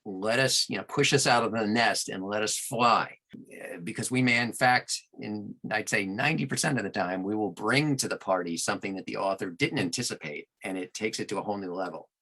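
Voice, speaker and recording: A man speaking as an interview guest about his work, in thoughtful, articulate and measured phrases. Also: fairly low pitch, bright, forceful tone, poor audio. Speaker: guest audiobook narrator and producer.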